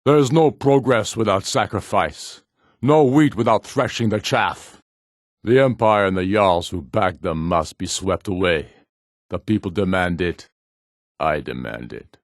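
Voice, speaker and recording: A proud Nordic warlord for a fantasy video game, with an extremely deep voice. He speaks like a ruler addressing his warriors, solemn, measured and imperious. The sound is close and dry. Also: animated, dry recording. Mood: fervent, defiant. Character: proud, commanding rebel warlord, a middle-aged Nordic jarl.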